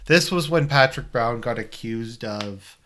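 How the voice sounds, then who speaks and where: A man hosts a casual live stream, talking loosely over the clips he plays. His tone is sarcastic and rambling, and his pitch sits in an ordinary middle range. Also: animated, measured pace, fairly bright tone.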